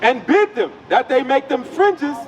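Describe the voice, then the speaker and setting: A man's voice: very high voice, sing-song, a little slow. A man reading Bible verses aloud on the street and chiming in with short affirmations. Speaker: scripture reader. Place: outdoors on a city street. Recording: faint outdoor street noise.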